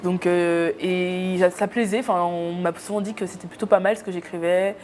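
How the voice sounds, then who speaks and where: A woman's voice: lowish voice, fairly even intonation, moderate pace, darkish tone, slight background noise. A young woman from Cameroon, a YouTuber guest in a casual interview, talking with many hesitations.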